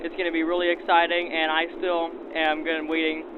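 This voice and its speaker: A man's voice: on the high side, monotone, fairly quick. A man, a volunteer car host, answering casually in an interview filtered like a 1940s radio broadcast. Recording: noisy phone-like sound aboard a train.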